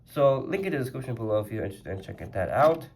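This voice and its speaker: A man's voice: medium pitch, big pitch swings, quick pace. Slightly congested man chatting casually. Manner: rambling and chatty, lots of "like" fillers. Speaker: toy collector reviewing a custom die-cast car. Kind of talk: casual review vlog.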